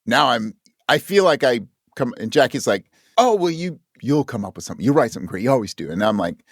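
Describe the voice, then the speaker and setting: A man's voice: medium pitch, very animated, quick pace, dry recording, clean studio sound. A man speaking as a writer guest in a casual interview, candid and thinking aloud, often acting out his own thoughts as quotes. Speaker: guest audio drama writer.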